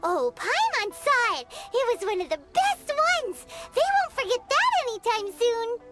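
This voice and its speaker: An actress voicing a tiny, childlike fairy companion in a video game, squeaky and chirpy, over loud game music. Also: female voice, sing-song, measured pace, full character voice. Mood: excited. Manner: squeaky, chirpy, refers to self in third person.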